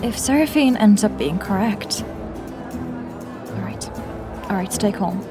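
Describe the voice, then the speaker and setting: An actress playing an anxious young woman infiltrator who mutters to herself in a hushed, self-questioning way in an audio drama. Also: mid-range voice, lively intonation, a little slow, music in the background, lightly acted. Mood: tense, worried.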